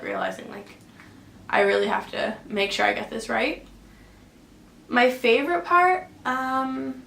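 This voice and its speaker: A woman's voice: on the high side, vocal fry, fairly bright tone. A young woman from Canada working as an air traffic controller, answering viewer questions in a casual, chatty way with plenty of fillers. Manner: chatty, lots of fillers and likes. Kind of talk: casual Q and A vlog. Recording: echoey room, faint background hum.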